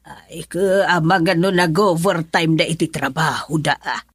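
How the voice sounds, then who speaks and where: A woman's voice: low-pitched, fairly even intonation, measured pace, husky, no room sound, clean studio sound. A woman playing an older, hesitant and soothing mother in an Ilocano-accented radio drama.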